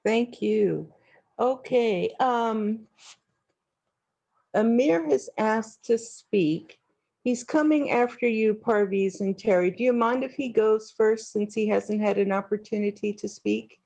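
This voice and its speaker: A woman moderating a panel, calling on speakers in a polite, unhurried way. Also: on the high side, creaky, warm tone.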